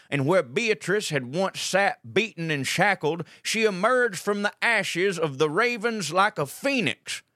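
A man's voice: high voice, bright, forceful tone, dry recording, clean studio sound, heavily acted. A man with a Southern US accent acting a folksy colonel detective who narrates a comic audio drama with wry asides. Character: folksy, digressive Southern colonel detective telling his tale.

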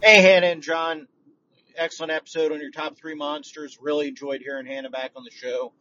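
A man's voice: fairly high pitch, flat delivery, fairly quick, sharp tone, dry recording. A man leaving a casual voicemail as a podcast listener, speaking in a frank, conversational way. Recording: dull, noisy, distant voicemail recording.

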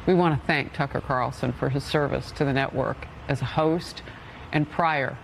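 Woman news anchor, measured formal read, gravelly tone, faint background noise. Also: very deep voice, poor audio. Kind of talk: scripted news announcement.

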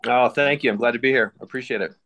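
A man's voice: medium pitch, fast, pushed and bright. A man speaking as a guest author, telling his story conversationally in an interview. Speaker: guest author and former paramedic.

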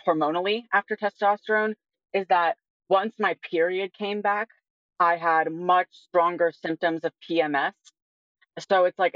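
A woman's voice: fairly low pitch, dry recording, highs cut off. A woman in her twenties talking casually and candidly as an interview guest, often using "like" as a filler. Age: 20s. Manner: candid, informal, frequent "like" as filler. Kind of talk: casual personal conversation.

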